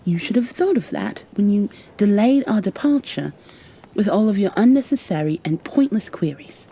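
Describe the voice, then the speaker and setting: A woman playing a soft, breathy, stern elf warrior. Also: mid-range voice, animated, normal speed. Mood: impatient. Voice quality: soft, breathy, often dropping toward a whisper. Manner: formal archaic phrasing, commanding, clipped impatience. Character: stern, brisk high elf warrior woman rescuing a princess. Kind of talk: ASMR roleplay, scripted in-character monologue.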